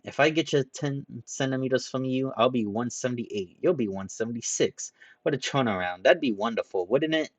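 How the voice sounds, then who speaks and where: A man reading a story aloud, voicing a loud, boastful young man who picks fights and brags. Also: medium pitch, dry recording, pitched above the performer's own voice, close to an everyday voice. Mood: brash, indignant. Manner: shouting, bragging, quick to pick fights.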